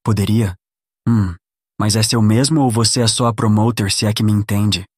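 A cocky, offhand Brazilian school athlete, acted by a man, with a lowish voice in a dry, close recording. He is the popular boy of an audio drama. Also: fairly even intonation, normal speed, no echo, close to an everyday voice. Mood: cocky, casual.

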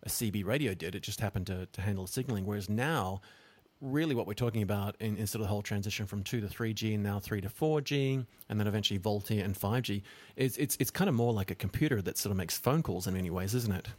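A man's voice: fairly low pitch, fast talker. A man hosting an interview, asking long, winding, enthusiastic questions. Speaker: podcast host.

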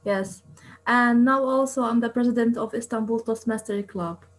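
Higher-voiced woman. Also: steady tone, moderate pace. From East Jerusalem. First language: Arabic. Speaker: interview guest, coach and researcher. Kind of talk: interview answers.

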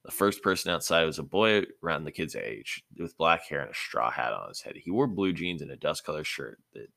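Very deep, gravelly male story narrator. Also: very animated, brisk, bright, forceful tone, no echo, clean studio sound. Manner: stumbles over words and rereads phrases. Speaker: reader of a fan fiction audiobook. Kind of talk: scripted narration.

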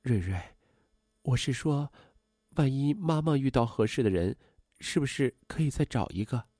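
A man voicing a gentle, hesitant middle-aged mother in a story narration, speaking in a hushed, coaxing way. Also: medium pitch, animated, moderate pace, dry recording, studio quality. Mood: bashful, hesitant. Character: soft-spoken, pleading middle-aged mother, hesitant and bashful. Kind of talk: acted dialogue in audio story narration.

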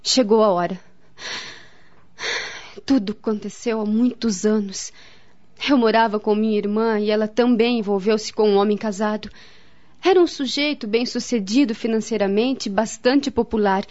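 A woman's voice: mid-range voice, steady tone, moderate pace, darkish tone, lightly acted. An actress playing a grave, devout woman in a radio drama, speaking solemnly and earnestly with a Brazilian accent. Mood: grave, sorrowful. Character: grave, devout woman friend, counselling and recalling family tragedy. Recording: dull, lo-fi radio drama recording.

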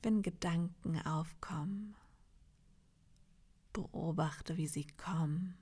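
Soft, breathy woman guiding meditation slowly. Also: on the low side. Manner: soft, breathy, half-whispered, soothing delivery with long pauses. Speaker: yoga nidra meditation guide. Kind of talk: guided meditation.

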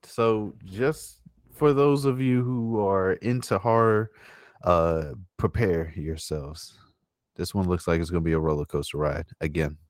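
Slow-talking male podcast host, dry sound. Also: low-pitched, dry recording, soft background music. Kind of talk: casual chat and commentary.

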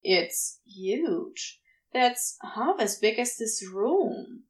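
Woman as a slow-drawling, sardonic male demon. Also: on the high side, lively intonation. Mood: incredulous. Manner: teasing, sardonic, casual. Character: teasing, sardonic male demon, wily and affectionate. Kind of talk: dialogue in a fan fiction audio reading.

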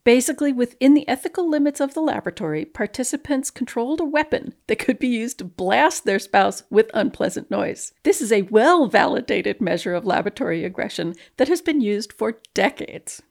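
A woman with a fairly high voice reads technical prose aloud as a guest reader. Her delivery is formal and precise, played straight. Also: expressive, fairly quick, vocal fry, few pauses, darkish tone. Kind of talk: dramatic reading aloud of a research paper.

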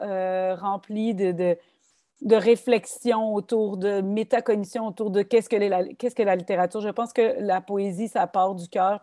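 A woman's voice: mid-range voice, no echo, studio quality. A woman with a Québécois French accent, a guest author talking thoughtfully about poetry in a casual interview. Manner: thoughtful, animated, many restarts and fillers.

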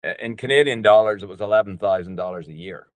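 An older man, telling his personal story as a podcast guest in a hesitant, unhurried way with pauses. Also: fairly low pitch, no room sound. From Northern Ireland. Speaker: podcast guest, business coach.

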